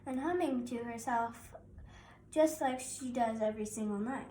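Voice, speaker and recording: A woman's voice: a little high, moderate pace, dark tone, far from the mic. A young girl of about nine reading a children's picture book aloud as narrator. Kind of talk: scripted children's story narration. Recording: roomy echo, faint background noise.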